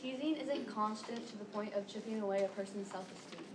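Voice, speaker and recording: A woman's voice: mid-range voice, a little fast. A young teenage girl reciting scripted monologue lines in a readers theater piece. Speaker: student performer. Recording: echoey room, distant mic, background noise.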